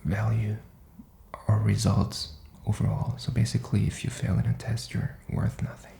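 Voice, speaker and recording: Whispering man, very gravelly. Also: fairly low pitch, flat delivery, moderate pace, dark tone, reverberant. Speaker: ASMR content creator. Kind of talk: whispered ASMR, rambling personal talk.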